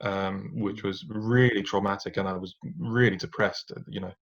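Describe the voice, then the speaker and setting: A man with a rather low voice speaks as a podcast guest, reflective and candid. He hesitates often and restarts his sentences. The recording sounds dull and lo-fi. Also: fairly even intonation, moderate pace, gravelly. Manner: reflective, many fillers and restarts, occasional rueful laughs. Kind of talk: candid personal story.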